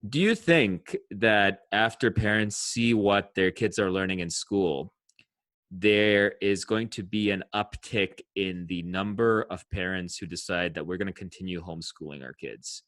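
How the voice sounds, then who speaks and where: Very deep-voiced male host. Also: a little slow, a bit bright, no room sound. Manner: musing, restarts, builds long questions. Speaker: podcast host. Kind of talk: casual interview questions.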